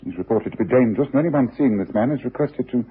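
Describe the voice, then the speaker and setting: An actor playing a bored, brilliant, self-assured London detective in a radio drama, with a British accent and a husky voice. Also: male voice, medium pitch, expressive, quick pace. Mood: musing, intent. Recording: thin, narrow-band old radio recording.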